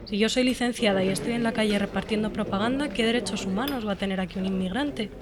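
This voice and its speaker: Casting: an actress as a sarcastic young Spanish job-hunter, mid-pitched, crowd noise behind. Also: female voice, quick pace, close to an everyday voice. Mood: indignant. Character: frustrated young female job-seeking psychologist, blunt. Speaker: unemployed psychologist. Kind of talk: scripted dialogue.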